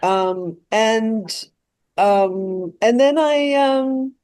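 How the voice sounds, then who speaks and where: A French-born woman in her sixties, whose first language is French, speaking English in a relaxed interview. She pauses a lot, with many fillers and restarts. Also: on the high side. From France. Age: 60s. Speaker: documentary filmmaker guest. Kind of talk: casual interview conversation.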